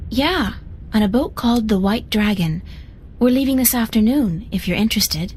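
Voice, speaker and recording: A woman's voice: on the high side, expressive, normal speed, darkish tone, slightly rough. An actress playing a headstrong, blunt young woman in a video game, talking casually and directly.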